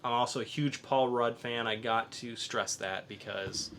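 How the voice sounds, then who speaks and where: A man's voice: mid-range voice, measured pace, a bit bright, a little hiss or hum. A man hosting a podcast, chatting casually about comics and films. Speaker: podcast host. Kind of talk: casual comics and film review chat.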